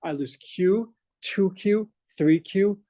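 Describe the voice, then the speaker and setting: A male professor with a Boston accent teaching a math class, energetic and full of questions for his students. His voice is mellow and dark, recorded dry and close with little room sound. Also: high voice, slow pace, dry recording. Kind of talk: classroom lecture. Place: lecture classroom.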